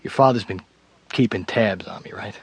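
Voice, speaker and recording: A troubled husband in a naturalistic radio drama. His voice sits fairly low and is recorded dry, with no room around it. He is halting and evasive, covering his guilt with wry, self-deprecating remarks. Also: slightly rough, no echo. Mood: uneasy recounting.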